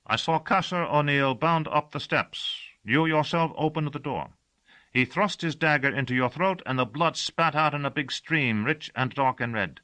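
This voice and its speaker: A man voicing a cheerful, excitable heavy-set servant who keeps forgetting things. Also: on the high side, moderate pace, voice pushed up.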